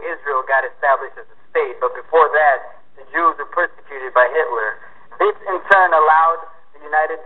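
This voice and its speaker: Hesitant man explaining over a phone line. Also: fairly high pitch. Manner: hesitant, explanatory, with many ums. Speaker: guest writer. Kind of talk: radio interview answers. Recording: phone-like radio audio.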